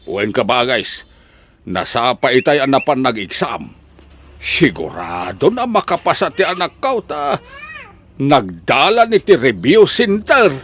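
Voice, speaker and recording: A man's voice: medium pitch, sing-song, a little slow, a bit bright. A man with a Filipino accent playing a proud, hardworking, doting farmer father in an audio drama. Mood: proud, fond. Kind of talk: Ilocano audio drama acting. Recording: faint background noise.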